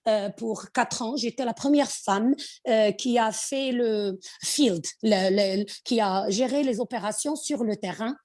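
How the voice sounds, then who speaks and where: A woman from Morocco with a Moroccan accent, a podcast guest talking conversationally and haltingly, searching for words and slipping in English. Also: a little high, a little mellow. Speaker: podcast guest, finance executive. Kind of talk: conversational interview.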